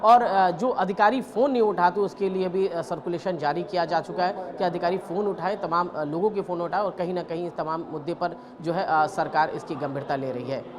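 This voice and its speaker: A man working as a field reporter, interviewing on location with a halting, hesitant delivery. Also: high-pitched. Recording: loud crowd chatter behind.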